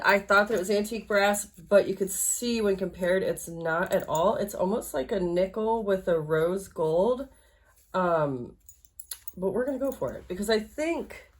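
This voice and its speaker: A woman teaching a sewing project in a casual, chatty way, a little congested and nasal from allergies, with frequent fillers and restarts. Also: medium pitch. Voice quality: slightly nasal. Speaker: sewing instructor. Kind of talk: casual sewalong tutorial.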